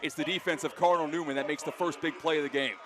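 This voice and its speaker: An American man calling live football play-by-play for radio, excitable and given to shouting on big plays. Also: on the high side, very fast. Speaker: play-by-play announcer. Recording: clear outdoor stadium background noise.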